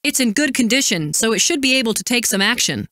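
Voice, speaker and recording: An actress playing a composed, dutiful woman military supply officer in a video game, giving crisp, formal, commanding briefings and callouts. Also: on the high side, lively intonation, fast, pushed and bright, dry recording, studio quality.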